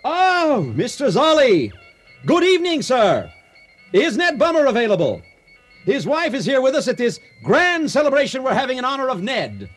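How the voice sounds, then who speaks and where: A man's voice: extremely high pitch, huge pitch swings, normal speed, faint music behind, full character voice. An actor in a radio play playing an effusive, ceremonious male master of ceremonies at a gala. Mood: anxious, polite.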